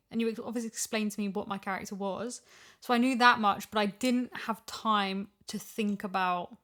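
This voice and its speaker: A woman's voice: medium pitch, sharp tone. Reflective woman in casual discussion. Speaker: voice actor discussing her character.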